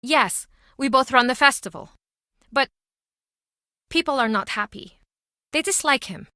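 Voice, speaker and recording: An actress playing a guarded Icelandic small-town pub landlady in a video game, speaking in measured, terse, matter-of-fact phrases. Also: high voice, lively intonation, sharp tone, dry recording, clean studio sound, heavily acted. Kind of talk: video game character dialogue.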